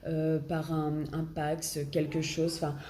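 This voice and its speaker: A woman's voice: low voice, monotone, slow, mellow. Woman, French accent, has a cold, hesitant tarot reader, roomy echo, faint hiss. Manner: hesitant, searching for words with many fillers. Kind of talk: casual tarot reading to camera. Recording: echoey room, faint background noise.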